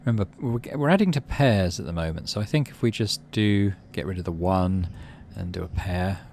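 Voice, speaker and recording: A man's voice: sits low, expressive, a little fast, gravelly, a little mellow, a little hiss or hum. A man hosting a game playthrough, reading story text aloud and thinking through his moves in a muttering way. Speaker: gaming channel host. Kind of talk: let's play commentary and read-aloud narration.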